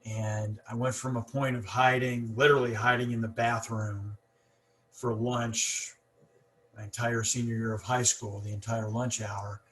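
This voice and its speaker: A man's voice: medium pitch, level tone, unhurried, a bit bright, a little hiss or hum. A man hosting a casual podcast conversation, talking with a stutter and many repeats and fillers. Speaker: podcast host.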